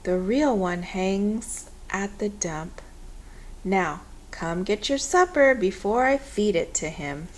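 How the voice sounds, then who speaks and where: A woman voicing a brisk, teasing old grandmother in a storybook, plain-spoken and drawling. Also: medium pitch, expressive. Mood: wry.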